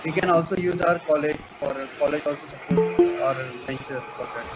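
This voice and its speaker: A man's voice: fairly high pitch, fairly even intonation, fairly quick, over loud music, rough recording. A young man chipping into a casual group discussion. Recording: clear background traffic noise.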